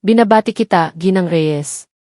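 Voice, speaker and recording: A woman with a Filipino accent voicing a gentle but firm woman doctor in a story, measured and serious. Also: on the low side, dry recording, clean studio sound, close to an everyday voice. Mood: grave, gentle. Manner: measured, choosing each word.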